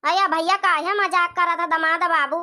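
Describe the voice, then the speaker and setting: A very high, sharp-edged village hostess voiced by a woman for a comedy cartoon. She pleads and coaxes with wedding guests, repeating herself insistently. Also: fairly even intonation, moderate pace, full character voice. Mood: dismayed. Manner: coaxing, repetitive. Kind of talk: amateur comedy cartoon dialogue.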